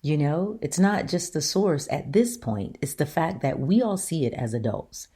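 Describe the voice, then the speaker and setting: Deep-voiced woman hosting her talk show. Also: lively intonation, moderate pace, dark tone, breathy edge. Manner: earnest, emphatic, rhetorical questions to listeners. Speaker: show host. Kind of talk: solo opinion talk.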